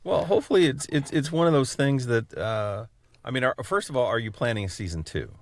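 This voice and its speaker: A man co-hosting a podcast, asking questions in a casual, wordy and halting way. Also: mid-range voice. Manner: halting, wordy, circling phrasing. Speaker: podcast co-host, interviewer. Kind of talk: casual interview chat.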